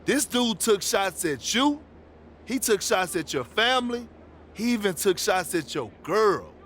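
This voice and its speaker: A man's voice: high-pitched, very animated, a little slow, a bit bright, full character voice. An actor playing an incredulous, confrontational male sports talk-show panelist in a video game, speaking emphatically and repeating himself for effect. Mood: provocative. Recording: clear background noise.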